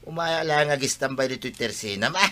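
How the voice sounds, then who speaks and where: A man's voice: medium pitch, a little fast, sharp tone, close to an everyday voice. A man with an Ilocano accent acting a brash, argumentative village man in a comedy drama. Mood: protesting.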